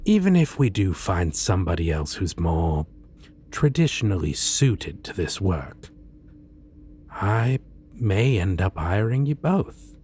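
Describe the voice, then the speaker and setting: A man playing a suave, theatrically polite supervillain in an ASMR roleplay, speaking with deliberate pauses and sly menace. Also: sits low, sing-song, a little mellow, a little hiss or hum, full character voice. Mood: cordial, pleased. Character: suave male supervillain interviewing a minion, courteous, slyly menacing. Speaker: roleplay voice performer. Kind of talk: ASMR roleplay, scripted monologue.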